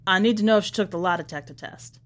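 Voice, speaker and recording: A woman's voice: medium pitch, fast, natural voice. Dogged male reporter, read by a woman, terse and probing, suspicious, for an audiobook story. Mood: urgent. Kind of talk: acted storybook dialogue.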